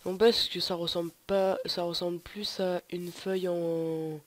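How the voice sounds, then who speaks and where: A man's voice: high-pitched, level tone, measured pace. A young man with a cold, rambling through casual gameplay commentary with lots of hesitations. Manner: rambling, hesitant, many fillers and restarts. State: stuffy with a cold. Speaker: gamer. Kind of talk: casual let's play commentary. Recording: loud background noise, home mic.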